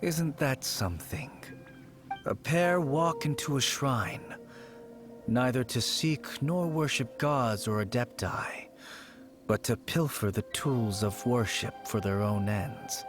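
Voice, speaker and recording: Man voicing a slow, cryptic old shrine keeper. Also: mid-range voice, close to an everyday voice. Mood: wry. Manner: unhurried, poetic, old-fashioned courtesy. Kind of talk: video game voice acting. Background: game music.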